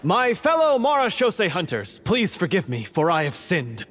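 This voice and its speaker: A man playing a remorseful monster hunter in a video game, speaking in an earnest, halting and dramatic way. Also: high-pitched, huge pitch swings, fairly bright tone, a little hiss or hum, heavily acted. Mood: theatrically contrite. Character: remorseful male monster hunter turned schemer, earnest and hesitant.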